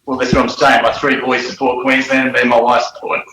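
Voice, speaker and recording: A man in his forties from New South Wales with an Australian accent, a relaxed storyteller with frequent fillers and laughs, guesting in a casual interview. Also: medium pitch, quick pace, slight background noise. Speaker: guest, former footballer. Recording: roomy echo.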